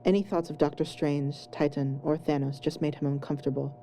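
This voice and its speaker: A woman's voice: low-pitched, flat delivery, brisk, warm tone, low-quality recording. A woman narrator reading scripted fan fiction as an audio story. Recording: faint background noise and ambience.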